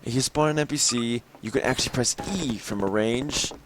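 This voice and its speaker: A young man with a slightly raspy, tired voice, showing off a game mod in rambling commentary full of fillers and throat clearing. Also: mid-range voice, brisk, noisy background. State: slightly raspy from lack of sleep. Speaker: gameplay video host. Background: game gunfire and clicks.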